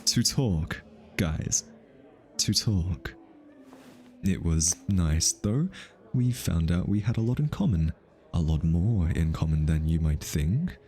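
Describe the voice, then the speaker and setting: A man acting a low-voiced, easily flustered smitten hitman. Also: lively intonation, slow, mellow, slight background noise, full character voice. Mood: coy. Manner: teasing, stammers and backtracks when flustered. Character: easily flustered male hitman, teasing, smitten, posing as socialite. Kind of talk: ASMR roleplay acting.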